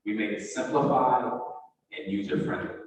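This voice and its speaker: A man's voice: mid-range voice, moderate pace, slight background noise. A man giving a formal, explanatory presentation as a school district administrator at a meeting. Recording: very echoey, reverberant hall, distant mic.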